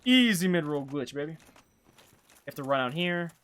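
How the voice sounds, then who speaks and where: A man's voice: fairly high pitch, lively intonation, normal speed, fairly bright tone. A man with a Canadian accent giving casual live gameplay commentary, rambling and slangy as he thinks aloud. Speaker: game streamer.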